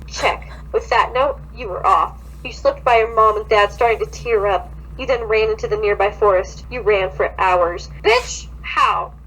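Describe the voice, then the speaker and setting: Casting: woman podcaster, fairly high voice, sarcastic and sweary, casual comic read-aloud, hummy noisy recording. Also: a bit bright. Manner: sarcastic, sweary, blunt commentary. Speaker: podcast co-host reading fan fiction aloud. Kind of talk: casual comic banter and mock-dramatic reading.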